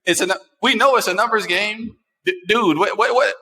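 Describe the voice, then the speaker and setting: An American man in his forties hosting a talk show, chatting casually and telling personal stories in an animated way, repeating phrases for emphasis. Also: high voice, normal speed. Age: 40s. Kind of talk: casual chat, personal storytelling. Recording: echoey, long room reverb.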